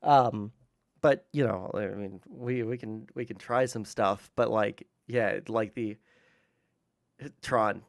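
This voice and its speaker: A man streaming from home, chatting casually with lots of fillers and some swearing, his voice naturally loud. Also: lowish voice, takes it slowly, gravelly, no room sound, studio quality. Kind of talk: casual livestream chat. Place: room hung with soundproofing blankets. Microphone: streaming mic with gain turned low.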